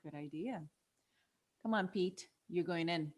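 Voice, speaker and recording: A woman's voice: on the low side, unhurried. An actress playing a kind, level-headed young woman in a script table read, with a hesitant, conciliatory, conversational delivery. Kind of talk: table read acting of a screenplay.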